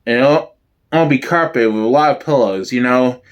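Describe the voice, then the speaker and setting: A man's voice: mid-range voice, normal speed, clean tone, dull audio, pitched below the performer's own voice, natural voice. A man voicing an eccentric, overconfident neighbour in a sitcom reading, breezy about his grand schemes. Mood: dreamy confidence.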